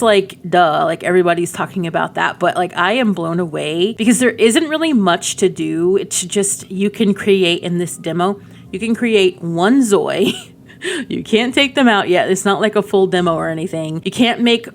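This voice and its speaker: Chatty woman, slightly low voice, noisy background. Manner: informal, chatty, thinking aloud. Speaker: gaming video creator. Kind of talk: casual game demo commentary.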